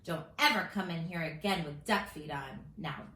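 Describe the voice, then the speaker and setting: A woman's voice: low-pitched, normal speed, sharp tone. A woman voicing a stern, scolding mother from a children's storybook, bossy and emphatic. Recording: roomy echo with faint background noise.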